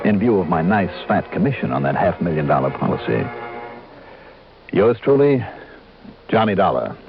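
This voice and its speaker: An actor playing a confident insurance investigator, clipped and pointed, in old-time radio drama acting and narration. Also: male voice, deep voice, very animated, normal speed, gravelly, music in the background, heavily acted. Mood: wry, brisk narration. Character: brisk, fearless male insurance investigator. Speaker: star and narrator of detective series. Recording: muffled old radio broadcast.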